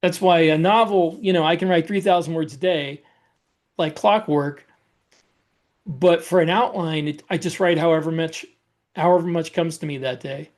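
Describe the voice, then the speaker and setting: Male podcast co-host, fairly high voice, conversational and explanatory, circles back over his phrases. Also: fairly even intonation, moderate pace. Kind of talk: casual podcast chat.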